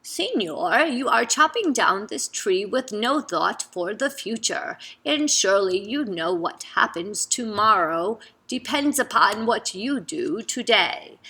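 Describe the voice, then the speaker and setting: A woman voicing a calm, serious anteater in a storybook read-aloud. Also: mid-range voice, takes it slowly, hardly any pauses, slightly rough, exaggerated voice. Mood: earnest.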